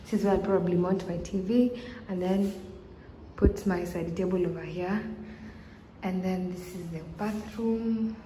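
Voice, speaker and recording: A woman's voice: on the low side, mellow, slight background noise, rough recording. A young woman in her twenties talking to her phone camera in a small empty apartment, chatty and upbeat, thinking aloud with small restarts. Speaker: vlogger. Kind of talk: casual vlog to camera.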